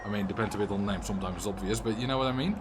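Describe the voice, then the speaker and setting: A man's voice: on the low side, racing, background noise. Dutch-accented man chatting on stream. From Netherlands. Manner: chatty, answers chat messages, rambling asides. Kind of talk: casual livestream chat while playing a game.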